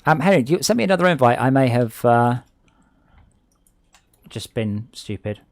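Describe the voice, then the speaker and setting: A man with a southern English accent chatting excitedly and jokily with friends while playing a game online. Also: mid-range voice, moderate pace, dry recording. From England. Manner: chatty, excitable, jokey, tangents. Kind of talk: casual gaming chat.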